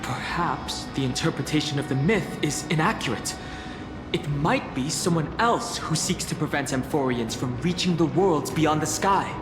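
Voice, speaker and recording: Actor as thoughtful male game hero, calm, measured, medium pitch, echoing hall, background music. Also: close to an everyday voice. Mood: pensive. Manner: measured, pondering. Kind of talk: scripted fantasy game acting. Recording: long hall reverb, music behind.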